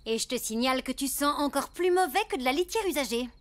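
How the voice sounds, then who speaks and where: A woman's voice: high-pitched, expressive, fast, a bit bright. A woman voicing a haughty, fussy female pet animal in a cartoon, sarcastic and cutting. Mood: disgusted. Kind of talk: cartoon dub acting.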